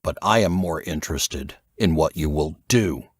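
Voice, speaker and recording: A man voicing a stern, probing old intelligence veteran in an audiobook, terse, commanding and deliberate. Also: rumbling low, breathy edge, no room sound, studio quality, pitched below the performer's own voice. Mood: stern, challenging. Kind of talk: audiobook character dialogue.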